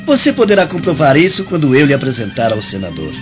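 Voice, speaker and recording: A man's voice: mid-range voice, sing-song, quick pace, loud music behind the voice, close to an everyday voice. Man acting haughty Roman noble, wildly swooping voice. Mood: amused, condescending. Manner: condescending, commanding, theatrical. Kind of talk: radio drama acting. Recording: dull lo-fi radio drama recording.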